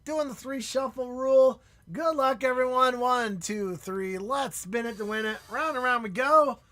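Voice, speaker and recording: A man hosting a live stream in casual chat, excitable and prone to loud shouts and laughter, and losing his voice. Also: extremely high pitch, a little slow. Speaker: livestream host.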